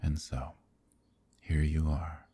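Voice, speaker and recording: A man playing a smug, possessive demon king villain in an intimate fantasy ASMR roleplay, taunting slowly and condescendingly in a gravelly, breathy voice. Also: very deep voice, level tone, dark tone, exaggerated voice. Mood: condescending, mock-pitying. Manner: slow, taunting, condescending purr.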